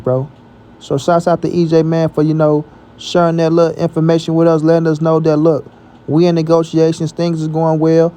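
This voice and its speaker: High-pitched American man talking boxing casually. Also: mellow. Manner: emphatic, repetitive, slangy with frequent check-in tags. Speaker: boxing commentary channel host. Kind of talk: casual opinionated sports commentary.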